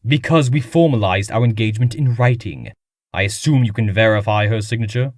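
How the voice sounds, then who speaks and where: A man's voice: lowish voice, a little fast, no echo, clean studio sound, lightly acted. An actor playing a haughty, wealthy nobleman in a comic dub, speaking in a formal and pompous manner.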